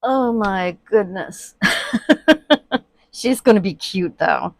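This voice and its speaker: A woman talking slowly and chattily as she works at her table, full of ums, her voice often dropping to a murmur. She sniffles now and then from allergies. The sound is dry and close, with no room echo. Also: medium pitch. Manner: chatty, many fillers, quiet and murmured while working. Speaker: reborn doll artist. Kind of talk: casual crafting tutorial vlog. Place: indoors at a worktable.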